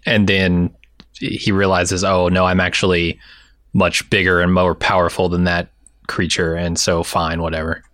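Male co-host, lower register, casual opinionated talk, tight dry recording. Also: little pitch movement, a little slow, a bit bright, no echo. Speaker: podcast co-host.

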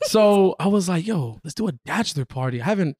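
A young man hosts a relaxed podcast chat, his voice a little raspy and worn. His pitch rises and falls freely as he tells the story, breaking into laughter often and restarting his sentences. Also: fairly quick, no echo, clean studio sound. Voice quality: raspy after a weekend of partying. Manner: laid-back, laughs often, trails off and restarts. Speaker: podcast host. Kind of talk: casual chat.

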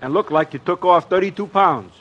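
A man's voice: high voice, a little fast, highs cut off. An actor playing a slangy, coaxing American department-store salesman in a 1950s radio comedy, punctuating the patter with uhs. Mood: persuasive.

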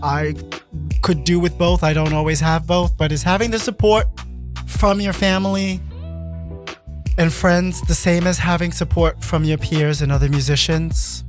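A man's voice: on the high side, level tone, normal speed. A man hosting a casual music interview in a radio studio, rambling a little and chiming in often. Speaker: radio show host.

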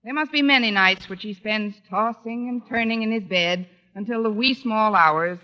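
A woman's voice: medium pitch, moderate pace, natural voice. An actress playing a wry, wisecracking female English teacher in a 1940s radio sitcom, with dry, arch delivery. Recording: dull, noisy vintage radio recording.

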